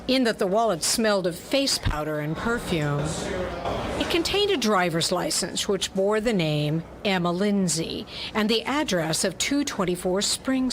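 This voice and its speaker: A woman narrates a hardboiled detective story in a scripted, dramatic style. Her pitch is medium for a woman. A little noise runs behind her voice.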